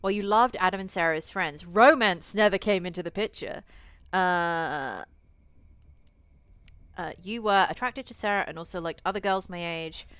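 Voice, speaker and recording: A woman's voice: fairly low pitch, normal speed, a bit bright, smooth, dry recording. A woman game streamer reading a visual novel aloud and chatting to viewers, with casual swearing and playful asides. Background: eerie game music.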